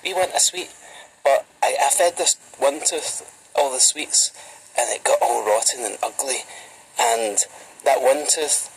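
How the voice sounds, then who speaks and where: Teasing Glaswegian man, gravelly voice. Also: mid-range voice, animated, a little slow, low-quality recording. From Glasgow, Scotland. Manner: flirty, joking, teasing, mock-serious. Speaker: vlogger. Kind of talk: playful casual chat.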